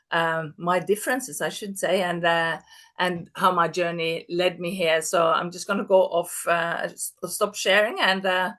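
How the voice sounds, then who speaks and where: A woman's voice: on the low side, fairly bright tone. Upbeat woman in her fifties. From Norway. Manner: upbeat and chatty. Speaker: masterclass presenter. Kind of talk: online workshop talk. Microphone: video call.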